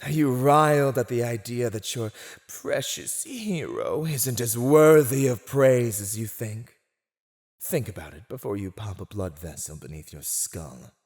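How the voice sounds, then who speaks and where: An actor playing a silver-tongued, condescending fantasy villain who teases and mocks a prisoner in a scripted ASMR roleplay monologue. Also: male voice, medium pitch, animated, measured pace, darkish tone. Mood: gloating, probing. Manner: theatrical, condescending, teasing.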